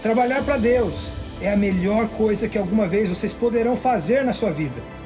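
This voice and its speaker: A man's voice: sits high, a little fast, over music. A man giving an earnest testimony in a ministry video.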